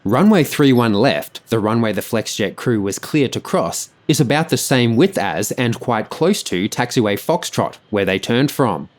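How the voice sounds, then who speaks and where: A man's voice: mid-range voice, lively intonation, normal speed, hardly any pauses. A man reading scripted explanatory narration about an aviation incident.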